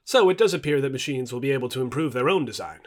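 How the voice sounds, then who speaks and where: Man as Victorian gentleman naturalist, curious, polite, reasoning, varied pitch. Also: lively intonation, fast, close to an everyday voice.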